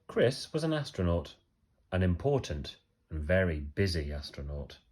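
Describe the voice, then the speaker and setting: A man reading a children's story aloud like a teacher, expressively, with emphatic phrasing and pauses for effect. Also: deep voice, big pitch swings, a little mellow, slightly rough.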